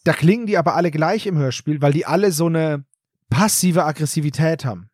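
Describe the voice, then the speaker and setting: A man's voice: on the high side, lively intonation, a little fast, darkish tone, no room sound, clean studio sound. A man co-hosting a podcast, chatting casually and animatedly about an audio drama. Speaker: podcast host.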